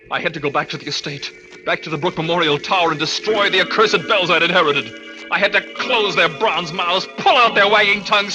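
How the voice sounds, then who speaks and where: A man's voice: high-pitched, natural voice, rough recording. An actor playing a tormented, obsessive madman in an overwrought, repetitive 1940s radio thriller style. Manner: melodramatic, overwrought, repeating phrases. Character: tormented madman hounded by bells, frantic and obsessive. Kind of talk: 1940s radio thriller acting, first-person narration. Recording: vintage radio broadcast with loud music.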